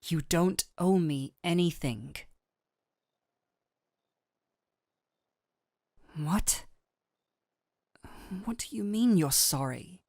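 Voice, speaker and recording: Casting: actress as caring fantasy healer woman for roleplay audio; lowish voice, soothing yet firm, very close dry sound. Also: lively intonation, dry recording, heavily acted. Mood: insistent, reassuring. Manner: soft, soothing, unhurried, earnest. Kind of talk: intimate scripted roleplay audio addressed to the listener.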